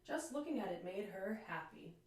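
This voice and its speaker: A woman reads a picture book aloud in a very echoey room, a little away from the mic. She sounds like a teacher with young listeners, phrasing each line expressively and deliberately. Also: mid-range voice, animated. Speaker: teacher reading aloud to children. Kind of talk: children's storybook read-aloud. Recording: echoey room, distant microphone.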